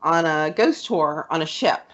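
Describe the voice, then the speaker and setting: A woman hosting a podcast, chatting through personal stories and often breaking into laughter. Her voice is somewhat low. The recording sounds dull and lo-fi. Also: lively intonation, measured pace, fairly bright tone. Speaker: podcast host. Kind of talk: casual conversation.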